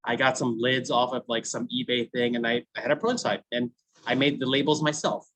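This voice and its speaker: A man's voice: medium pitch, steady tone, a little fast, a bit bright, poor audio. American man, chatty, laughs often, founder telling his story. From United States. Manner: chatty, laughs often, many fillers like "you know". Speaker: food brand founder speaking to a class. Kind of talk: casual storytelling talk.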